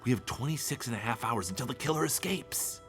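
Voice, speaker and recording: A man's voice: medium pitch, animated, brisk, fairly bright tone, soft background music. An actor playing an evasive, easily flustered male colleague in an audio drama, with a dramatic, defensive manner. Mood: urgent, brisk. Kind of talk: podcast audio drama acting.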